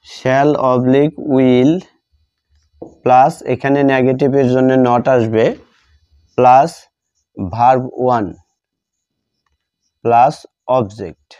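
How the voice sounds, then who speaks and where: A man, a native Bengali speaker, giving a step-by-step grammar lesson as a teacher, dictating deliberately term by term with repetition. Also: medium pitch, fairly even intonation, warm tone, clear, resonant tone, highs cut off.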